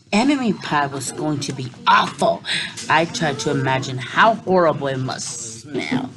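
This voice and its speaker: Expressive woman teacher reading a storybook. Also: sits low. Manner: expressive, with playful asides to young listeners. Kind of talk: children's picture book read-aloud. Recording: noisy recording with clicks.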